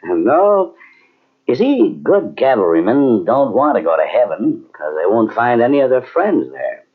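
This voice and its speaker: Avuncular cavalry officer: assured, genial, very expressive pitch, muffled vintage radio drama sound. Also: male voice, unhurried, slightly rough, heavily acted. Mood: wistful, musing. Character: genial, confident frontier cavalry commanding officer. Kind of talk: old-time radio drama acting. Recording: muffled old radio drama from cassette tape.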